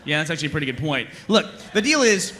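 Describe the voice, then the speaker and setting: Exasperated, high-pitched bossy soldier. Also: male voice, big pitch swings, fast talker, pushed and bright. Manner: argumentative, exasperated, emphatic. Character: exasperated, bossy male soldier leading a squad. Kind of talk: animated comedy table read.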